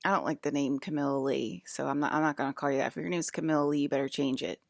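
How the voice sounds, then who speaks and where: A woman's voice: extremely low pitch, fast talker, gravelly, darkish tone, no echo, clean studio sound. A woman in her fifties talking straight to her viewers in a rambling, confrontational monologue, full of fillers and swearing. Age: 50s. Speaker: video blogger.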